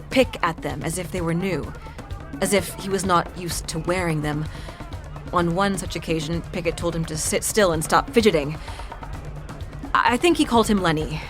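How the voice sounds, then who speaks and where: Woman acting low, pleading captive woman over music. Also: lightly acted. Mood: weary, grim. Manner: earnest, halting pleading. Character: desperate, meticulous enslaved woman pleading for help. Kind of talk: dramatised fantasy game audio drama. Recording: loud music behind the voice.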